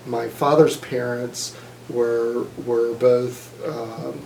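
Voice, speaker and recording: A man in his fifties from Mississippi, giving an oral history interview in a measured, thoughtful way with frequent fillers and restarts. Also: mid-range voice, little pitch movement, creaky, dark tone, roomy sound, a little hiss or hum, low-quality recording. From Mississippi, USA. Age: 50s.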